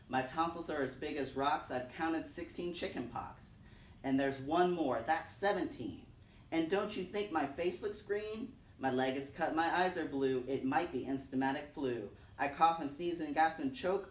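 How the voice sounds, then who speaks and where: A man's voice: a little high, close to an everyday voice. Casting: man plays whiny, melodramatic little girl faking sickness for a storytime poem; roomy, distant recording. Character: little girl melodramatically listing ailments to skip school. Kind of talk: children's poem read aloud. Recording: roomy, distant mic, faint noise.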